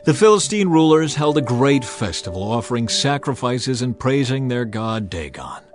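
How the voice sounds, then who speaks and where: A man reading a dramatized Bible as narrator, with measured, storytelling delivery. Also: mid-range voice, very animated, over music. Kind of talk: dramatized scripture narration, scripted.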